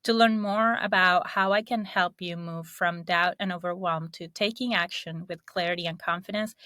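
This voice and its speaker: A woman's voice: on the low side, bright, forceful tone, dry recording, studio quality. A woman hosting a podcast interview, upbeat and asking curious questions. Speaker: podcast host and career coach.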